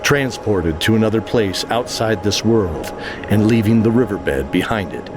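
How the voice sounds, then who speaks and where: A man's voice: fairly low pitch, lively intonation, moderate pace, music in the background. A man reading scripted narration for a fantasy adventure story.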